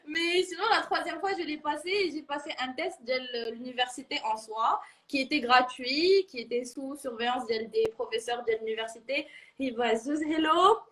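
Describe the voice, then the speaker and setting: Woman, early twenties, Moroccan accent, high pitch, casual interview chat, slangy, giggly. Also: normal speed, fairly bright tone. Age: early 20s. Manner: informal, giggly, peppers talk with slang and fillers. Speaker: international university student giving a testimonial.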